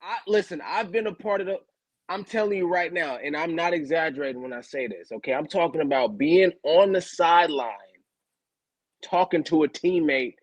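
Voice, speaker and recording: A man co-hosting a casual podcast as a former player, telling stories in an animated, slangy way and often repeating himself. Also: fairly high pitch, moderate pace, no echo. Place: home studio, video call. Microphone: video call setup.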